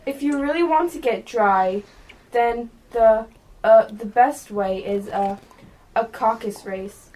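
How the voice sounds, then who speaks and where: A bossy storybook dodo acted by a female performer in an amateur radio play. The delivery is slow, pompous and hesitant, on a noisy recording. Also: fairly high pitch, warm tone.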